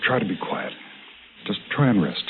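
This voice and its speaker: An actor playing a calm, caring American country doctor, reassuring in an old radio drama. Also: male voice, on the low side, moderate pace, a little hiss or hum. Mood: soothing. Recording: narrow vintage radio, music behind.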